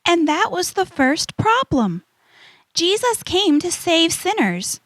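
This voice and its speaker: A woman playing an earnest young adventurer girl in a children's audio drama, instructive and deliberate. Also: very high voice, sing-song, a bit bright, dry recording, full character voice.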